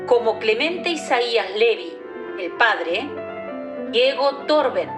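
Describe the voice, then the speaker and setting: A woman presenter giving a formal, deliberate scripted announcement of a play's credits. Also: on the high side. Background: music.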